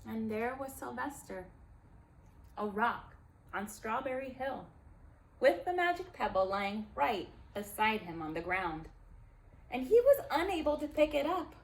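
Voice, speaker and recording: Woman storyteller, high voice, slow pace, deliberate, emphatic, children's read-aloud. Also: sing-song, rough recording. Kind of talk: expressive read-aloud with simple asides to children.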